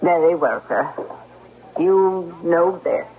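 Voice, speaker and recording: A woman's voice: lowish voice, slow pace, a little hiss or hum. A woman playing a stern, brusque housekeeper in a gothic radio drama, formal and clipped in manner. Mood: deferential. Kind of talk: 1970s radio drama acting. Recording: thin phone-like old radio broadcast.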